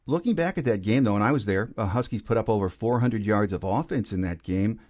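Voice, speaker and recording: A man with a fairly low voice hosts a sports interview. He recaps and asks questions in a conversational podcast style. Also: fairly quick, darkish tone.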